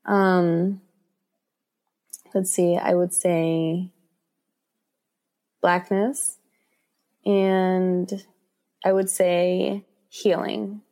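A woman's voice: fairly low pitch, level tone, extremely slow, long pauses, warm tone. A woman with an American accent answering as an interviewed author, conversational and reflective, thinking aloud as she goes. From Washington DC, United States.

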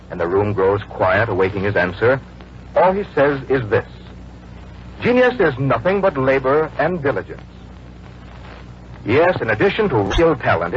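A man announcing in a polished, scripted 1940s radio style, telling stories as sales copy. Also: medium pitch. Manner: polished, storytelling sales delivery. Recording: muffled, noisy old radio broadcast.

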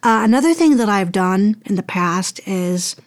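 A woman's voice: medium pitch, animated, moderate pace, no echo, clean studio sound. A woman speaking casually as an interview guest, thinking aloud in a hesitant, rambling way. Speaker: guest, audio drama producer and voice actor.

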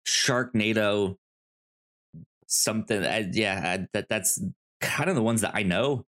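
Halting, casual male podcast co-host. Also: lowish voice, a bit bright. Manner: halting, repeats words and false starts. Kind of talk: casual movie podcast chat.

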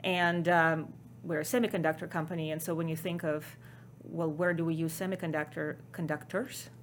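Woman, Ukrainian accent, low register; measured explainer, faint noise under the mic. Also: flat delivery. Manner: measured, explanatory, frequent ums. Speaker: guest. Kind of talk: interview conversation.

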